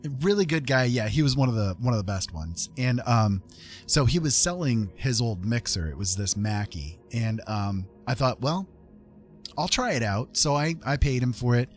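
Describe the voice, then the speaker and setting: A man's voice: mid-range voice, animated, normal speed. A man chatting casually to viewers as a live streamer, rambling along in an easygoing, informal way. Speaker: former radio host. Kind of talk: casual livestream chat with viewers. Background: music playing.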